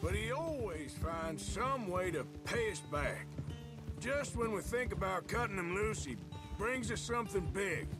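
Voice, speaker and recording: An actor with an American accent playing a gruff, sardonic Old West outlaw in a video game, talking in a dry, menacing drawl. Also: male voice, high-pitched, expressive, unhurried, over music. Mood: wry, sardonic. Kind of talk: video game cutscene acting.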